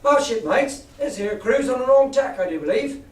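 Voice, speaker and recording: Man doing a loud excitable pirate crewman. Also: very high-pitched, moderate pace, voice pushed up. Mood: relieved. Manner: loud, excitable sailor's talk. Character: superstitious rough pirate crewman, easily swayed. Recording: echoey room, far from mic.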